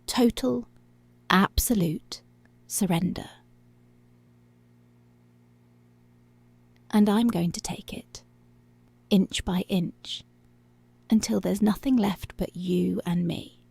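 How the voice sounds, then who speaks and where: A woman playing a dominant, seductive policewoman in an ASMR roleplay, breathy and often whispering, slow, teasing and controlling, close to the microphone. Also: mid-range voice, darkish tone, dry recording, heavily acted. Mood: intense, seductive.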